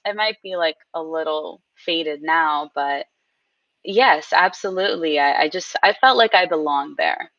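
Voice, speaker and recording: A woman guest telling stories in a casual interview, animated and acting out what people said. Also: sits low, unhurried, dry recording, distant mic, highs cut off. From Queens, New York. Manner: animated storyteller, acts out quotes. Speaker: guest, game company founder.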